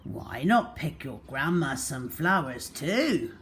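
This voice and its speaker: A sly storybook wolf, played by a woman storyteller, coaxes with sugary politeness and a growling edge. The delivery is drawn out and swings widely in pitch, over faint background noise. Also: sing-song, slow, exaggerated voice. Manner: wheedling, falsely sweet with growling undertone. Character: big bad wolf, sly male beast feigning niceness.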